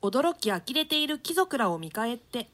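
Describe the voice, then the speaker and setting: A woman's voice: a little high, big pitch swings, normal speed, a bit bright. A woman narrating the stage directions of a play in a scripted, dramatic reading style.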